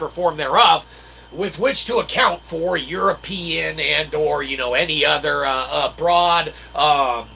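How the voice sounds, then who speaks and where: A man's voice: on the high side, vocal fry, pushed and bright, a little hiss or hum, rough recording. A man hosting a comedy show in a rambling monologue, with wordy mock-formal phrasing and theatrical showman delivery. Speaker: host of a comedy philosophy show.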